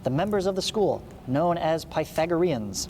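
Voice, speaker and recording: A man's voice: fairly high pitch, big pitch swings, normal speed, a little mellow, in a large hall, slight background noise. A man teaching a read-along lesson, reading aloud and explaining directly to the listener as he goes. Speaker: geometry teacher.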